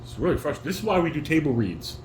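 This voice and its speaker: Dad-type man running a home table read. Animated pitch, halting reading, frequent self-corrections, roomy sound with some noise. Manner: halting reader, corrects and repeats himself. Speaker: father directing a family table read. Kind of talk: reading stage directions and coaching. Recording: roomy, noisy home recording.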